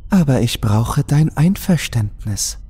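A man voicing a calm, persuasive, mysterious woman who speaks gently and coaxingly in a horror audio story. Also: mid-range voice, unhurried, breathy edge, pitched above the performer's own voice.

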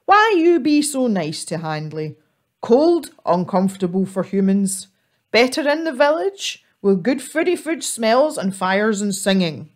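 Woman putting on a gruff lonely troll. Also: mid-range voice, big pitch swings, a little slow. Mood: puzzled. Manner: clipped broken grammar, blunt. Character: big lonely male bridge troll, gruff and simple.

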